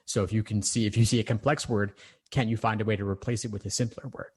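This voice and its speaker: A man's voice: lowish voice, level tone, fast, husky. A man coaching a student over a video call, speaking in a reassuring, encouraging way. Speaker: LSAT tutor and podcast host.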